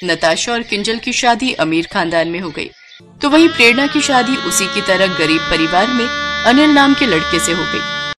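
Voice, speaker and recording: A woman's voice: a little high, expressive, normal speed, over music. A woman narrating a scripted moral bedtime story as storyteller.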